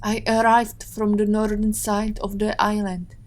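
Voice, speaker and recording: An actress playing a composed Interpol agent held captive, speaking in a measured, matter-of-fact way in an audio drama. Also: female voice, mid-range voice, little pitch movement, darkish tone, close to an everyday voice. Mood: composed, explanatory.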